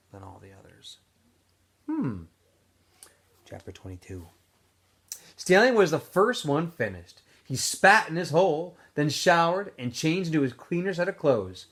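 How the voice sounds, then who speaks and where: A man's voice: a little high, sing-song, a little slow, long pauses, breathy edge. A male teacher casually recapping a story for students, then reading a novel aloud expressively.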